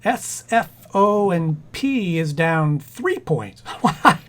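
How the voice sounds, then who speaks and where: Stammering, absent-minded husband with lively pitch swings, acted by a man. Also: slow pace, full character voice. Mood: absorbed, distracted. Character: distracted, mild-mannered husband and businessman. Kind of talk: 1940s radio comedy acting.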